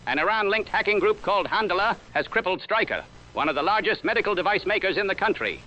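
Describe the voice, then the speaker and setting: A man reporting as a Washington correspondent in a formal, measured 1930s radio newscast style. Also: sits high, lively intonation, pushed and bright. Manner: formal, measured, old-time announcer cadence. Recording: lo-fi vintage radio sound with faint hiss.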